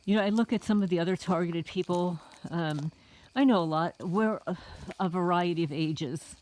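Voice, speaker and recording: A woman's voice: fairly low pitch, normal speed, a little mellow, poor audio. Middle aged lady from the bronx doing a casual vlog rant, indignant, talks right to her viewers. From the Bronx, New York. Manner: indignant, rhetorical, addresses listeners as folks. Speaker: homesteading vlogger.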